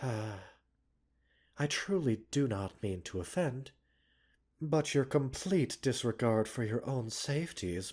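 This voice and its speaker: A man playing a gentle, caring doctor in a roleplay ASMR, speaking softly and breathily with measured, polite, gently chiding formality. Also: mid-range voice. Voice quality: soft, breathy. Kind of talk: roleplay ASMR, scripted character acting.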